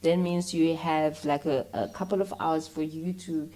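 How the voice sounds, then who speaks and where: A South African woman with a voice a little lower than most women's talks as a guest in a relaxed interview. She explains things conversationally, slipping between English and a local vernacular as she goes. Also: moderate pace. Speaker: interview guest, actress and filmmaker.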